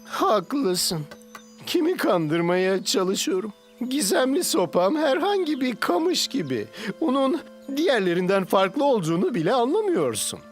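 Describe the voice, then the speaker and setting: A woman dubbing a goofy, excitable young cartoon rodent who talks in a silly, dramatic way. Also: a little high, measured pace. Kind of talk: Turkish cartoon dub. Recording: music behind.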